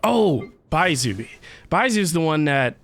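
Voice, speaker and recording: Male streamer chatting over clear game music. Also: a little high, very animated, slow, fairly bright tone. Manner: rambling, thinking aloud, talking to viewers. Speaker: livestream host playing a video game. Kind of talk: casual livestream chat. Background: video game music.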